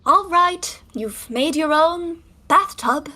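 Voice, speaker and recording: A woman's voice: sits high, expressive, slow, pushed and bright, exaggerated voice. A woman acting a prim, scandalized young 1920s woman in a stage comedy, scolding in exasperation. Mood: exasperated scolding.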